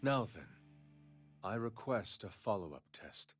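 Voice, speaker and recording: A man's voice: lowish voice, animated, a little slow. A man voicing an earnest, intensely devoted assassin disciple in a video game, formal and solemn. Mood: earnest, determined. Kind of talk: video game character acting. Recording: studio voice under loud guitar music.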